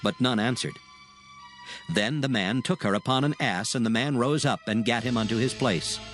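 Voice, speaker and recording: A man's voice: medium pitch, moderate pace, a bit bright, faint music behind. A man narrating dramatized scripture in a solemn storytelling manner, reading archaic English from a script.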